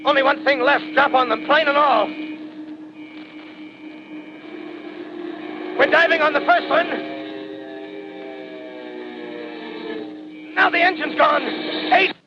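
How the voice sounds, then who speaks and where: An actor playing an army bomber pilot lieutenant, giving a clipped military radio report in a 1930s radio drama. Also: male voice, extremely high pitch, steady tone, fairly quick. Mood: desperate. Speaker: bomber squadron commander. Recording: dull old radio broadcast with loud engine noise.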